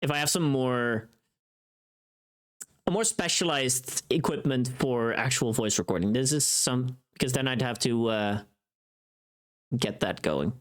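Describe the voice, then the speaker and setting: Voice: medium pitch, a little slow, long pauses. A livestreamer chatting casually with viewers in a playful, teasing, rambling way. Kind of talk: casual chat with live viewers.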